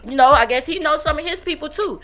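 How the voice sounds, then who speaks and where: A woman's voice: sits high, animated, quick pace. A woman in her fifties chatting casually and bluntly on a podcast, swearing freely and repeating phrases for emphasis. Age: 50s. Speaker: podcast co-host. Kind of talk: casual, animated podcast chat. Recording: music playing faintly behind.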